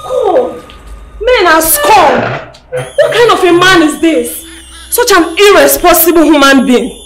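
An actress playing an indignant, outspoken bystander in a film drama. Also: female voice, a little high, big pitch swings, fairly quick, warm tone, raised voice. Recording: echoey, with clear music behind.

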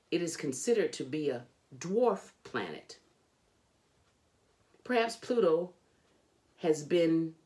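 A middle-aged woman reading a children's book aloud in an expressive, deliberate, emphatic storytelling manner. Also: low-pitched. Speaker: storybook reader. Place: indoors, furnished home room.

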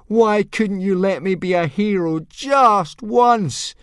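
A man voicing a defiant young Viking boy who shouts in anger. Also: sits high, takes it slowly, clear, resonant tone, no room sound, clean studio sound, pitched above the performer's own voice. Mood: furious yelling. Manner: shouting, yelling at the sky.

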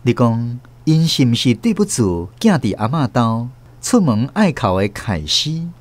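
A man narrating a radio drama in the first person as an expressive storyteller. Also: medium pitch, measured pace. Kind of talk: dramatic scripted narration in Taiwanese Hokkien.

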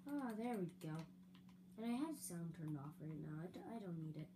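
A young woman casually narrating a building game with giggles and fillers, her voice a little raspy. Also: on the low side, animated, a little fast. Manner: chatty, giggly, rambling with fillers. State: slightly raspy, throat-clearing. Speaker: gaming video creator. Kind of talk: casual let's-play building commentary. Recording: audible hum behind voice.

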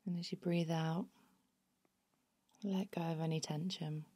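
A woman leads a guided meditation in a slightly low, very mellow voice. She speaks very slowly and soothingly, with phrases that settle into a hush. The delivery is unhurried and gentle throughout. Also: fairly even intonation, slightly rough. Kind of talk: guided body scan meditation narration.

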